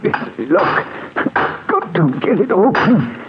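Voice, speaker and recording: An actor playing a small, gray-haired mystic, earnest and pleading, in a dramatic 1940s radio play. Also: male voice, sits high, very animated, takes it slowly, heavily acted. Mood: desperate, hurried. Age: older. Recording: narrow, noisy 1940s radio recording.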